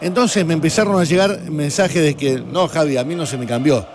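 A man's voice: on the high side, fairly quick, a little mellow, a little hiss or hum. A man with an Argentine accent hosting a radio and TV show, chatting casually and explaining things to listeners with enthusiasm. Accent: Argentine Spanish.

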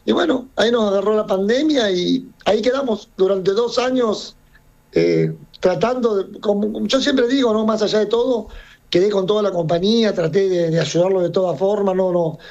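A middle-aged man from Serbia, a circus owner, chats casually in an interview. His voice sits high for a man, and he rambles, restarting and repeating words as he goes. The recording is dull and lo-fi. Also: animated, normal speed, darkish tone. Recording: dull lo-fi sound.

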